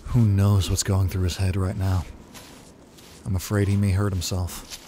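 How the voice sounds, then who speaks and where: A man's voice: sits low, flat delivery, a little slow, background noise. A man voicing a tense, decisive survivor leader and protective father in a comic dub. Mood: steady, concerned. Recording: loud music bed under the voice.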